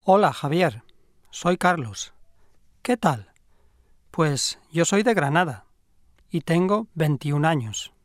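Man acting slow, friendly young man. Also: fairly high pitch, lively intonation, no echo, studio quality. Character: friendly young man from Granada, aged twenty-one. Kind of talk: scripted language-lesson dialogue.

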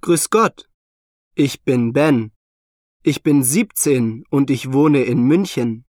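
A man reading scripted lines for a language listening exam, slowly and deliberately. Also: mid-range voice, darkish tone, no room sound, clean studio sound. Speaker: exam dialogue reader.

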